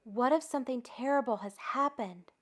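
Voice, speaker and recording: A woman's voice: high voice, normal speed. A woman voicing an anxious, devoted male toad in a storybook, speaking with dramatic emphasis. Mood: worried, fearful. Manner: dramatic, emphatic worrying and questioning.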